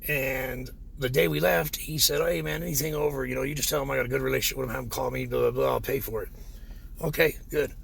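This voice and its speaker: Hoarse, gravelly man venting. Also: medium pitch, fairly even intonation, fast, slight background noise. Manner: frustrated, rambling, many fillers. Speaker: pond contractor. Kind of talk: casual vlog rant.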